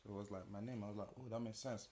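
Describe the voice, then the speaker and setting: A man, telling stories in a relaxed way with slang as an interview guest. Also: lowish voice, racing. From Nigeria. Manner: relaxed storytelling with slang, laughs often. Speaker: music producer and interview guest. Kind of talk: casual interview chat.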